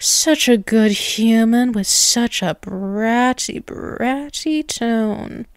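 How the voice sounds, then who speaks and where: Lady acting as a dominant vampire woman, slow teasing condescending asmr roleplay, really dry close sound. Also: on the high side, clear, resonant tone, no room sound. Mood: taunting, commanding. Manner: slow, condescending, teasing, with drawn-out words and chuckles. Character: dominant, taunting ageless vampiress toying with a captive human.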